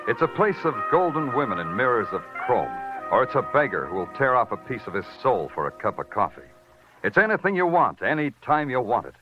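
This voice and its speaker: An actor playing a brooding, hard-boiled American police detective in 1950s radio noir style. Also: male voice, medium pitch, sing-song, brisk, faint music behind, lightly acted. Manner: terse commands, moody poetic narration. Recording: muffled vintage radio recording.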